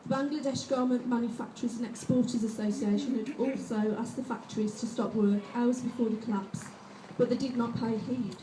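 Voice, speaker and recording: A woman's voice: on the high side, roomy sound, recorded from a distance, background noise. A woman reading a prepared speech at a rally and introducing the next speaker. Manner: steady, reading from notes. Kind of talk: read-out speech.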